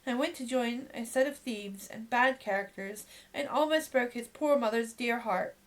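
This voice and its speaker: A woman's voice: fairly high pitch, moderate pace, close to an everyday voice. A woman doing a scheming young woman pleading. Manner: earnest, pleading.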